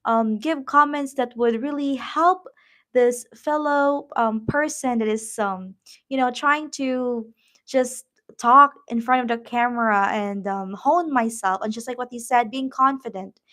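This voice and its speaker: A woman with a Filipino accent hosts a casual live stream, speaking slowly with plenty of "um"s as she encourages her viewers. Her voice sits a little high for a woman. Her first language is Tagalog, and her delivery is unhurried and conversational. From Philippines. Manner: warm, encouraging, reads viewer comments, many "um" fillers. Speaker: YouTube livestream host.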